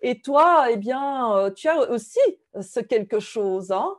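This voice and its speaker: Female interview host, fairly high voice, effusive and appreciative, semi-formal delivery. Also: animated, slow pace. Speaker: interview host and constellation trainer.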